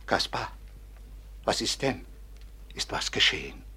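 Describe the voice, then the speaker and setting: An actor in a German radio drama playing a kindly, worried householder, anxious and solicitous, full of questions. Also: male voice, fairly low pitch, big pitch swings, slow pace, bright, forceful tone, breathy edge, exaggerated voice. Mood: alarmed. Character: concerned, kindly householder caring for a hurt youth.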